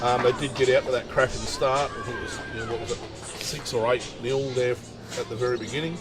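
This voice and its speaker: A man giving relaxed, chatty lawn bowls commentary, full of fillers and asides. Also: medium pitch, quick pace, over music. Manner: easygoing, chatty, many fillers. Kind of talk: casual sports commentary.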